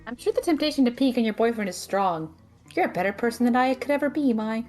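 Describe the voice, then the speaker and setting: A woman playing a sharp-tongued, responsible female student mage in an audio drama, blunt and sardonic. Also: fairly high pitch, animated, fast talker, faint music behind, lightly acted. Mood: dry, teasing.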